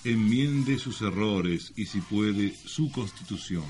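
Argentine radio man, low teasing voice. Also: slow pace, darkish tone, slight background noise. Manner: teasing, mock-formal. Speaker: radio co-host. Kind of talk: bantering comic radio talk with mock-solemn lines.

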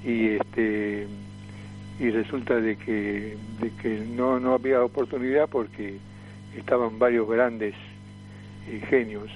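A man's voice: on the low side, fairly even intonation, slow pace, muffled recording, soft background music. A man in his seventies from Tucumán with an Argentine accent, recalling his career as an interview guest in a hesitant, repetitive way. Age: 70s. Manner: hesitant, repeats words and phrases. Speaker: radio guest, veteran comic performer and voice impressionist. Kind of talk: casual reminiscing interview.

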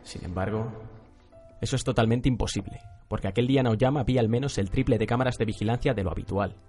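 A man's voice: medium pitch, fast, a little mellow, soft background music, close to an everyday voice. An actor playing a cool, calculating male student in a radio drama, politely controlling.

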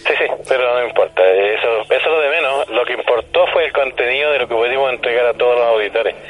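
A man's voice: mid-range voice, fast talker, faint music behind. A man with a Chilean accent chatting politely and formally as a radio guest in a phone interview. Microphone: telephone. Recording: tinny, narrow telephone line.

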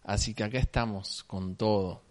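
A man in his thirties from Salta, Argentina, with a Salta accent, chatting as an interview guest in a slow, drawn-out tonada with many repetitions. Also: lowish voice, steady tone, a little mellow. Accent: Salta Argentine Spanish. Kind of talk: casual interview chat.

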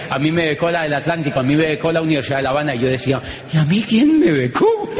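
A middle-aged man with a Colombian accent tells a story to a live audience and acts out both sides of each conversation. His voice sits a little high for a man. The sound rings through a large hall, with faint background noise. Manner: animated, acts out dialogue, repeats phrases for effect. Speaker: motivational speaker.

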